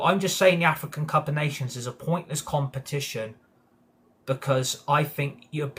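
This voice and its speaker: A man with a mid-pitched voice hosts a live football reaction stream. He rants in a heated way and swears often. He keeps agreeing with comments from his live chat. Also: a bit bright. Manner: heated ranting with frequent swearing and repeated agreement. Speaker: football fan channel host. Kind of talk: livestream match reaction, reading live chat.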